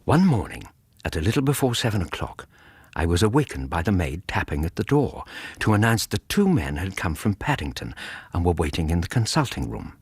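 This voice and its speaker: A Victorian English doctor telling his memoir in a radio drama. The voice is low and husky, with expressive rises and falls. His phrasing is formal and reflective. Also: no room sound, heavily acted. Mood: reflective, composed. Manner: measured, literary, formal. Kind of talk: scripted first-person radio drama narration.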